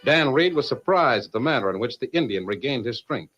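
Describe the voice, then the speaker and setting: A man's voice: medium pitch, expressive, fairly quick, fairly bright tone, dull audio. A man with an American accent narrating a western serial as a 1940s radio announcer. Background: faint music.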